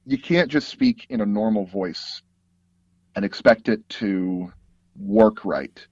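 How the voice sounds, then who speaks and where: A man telling anecdotes casually as an interview guest, animated and acting out bits of dialogue as he goes. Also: fairly low pitch, slow, dry recording, dull audio. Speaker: guest, voice actor and audio drama producer.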